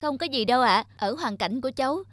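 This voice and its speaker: A woman's voice: high voice, animated, quick pace, a bit bright, no room sound, clean studio sound. A woman voicing a principled, helpful young female passenger in a cartoon, polite but assertive. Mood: firm. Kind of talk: cartoon character acting.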